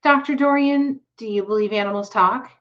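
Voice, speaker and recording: A woman's voice: on the high side, moderate pace, dull audio, pitched above the performer's own voice, close to an everyday voice. A woman reading the part of a worried, puzzled farm mother in a storybook.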